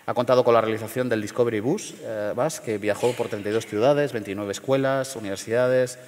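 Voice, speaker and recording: A middle-aged man from León in Spain, speaking at a panel forum in a measured, hesitant way with many fillers and restarts. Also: mid-range voice, fairly even intonation, darkish tone, in a large hall, slight background noise. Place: large theater stage.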